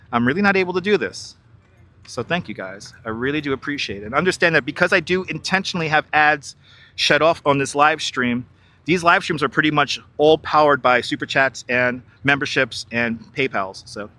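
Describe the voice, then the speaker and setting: A man's voice: fairly high pitch, pushed and bright. A middle-aged man, walking outdoors by a river on a live stream, chatting with viewers and reading their comments aloud. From New York. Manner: chatty, repeats himself, reads and answers viewer chat. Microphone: wireless clip-on mic.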